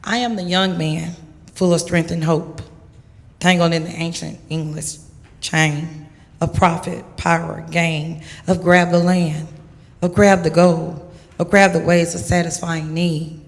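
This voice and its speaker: Woman dramatically reading poetry in echoey hall. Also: lowish voice. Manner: emphatic, deliberate phrasing. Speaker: poetry reader. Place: large church hall.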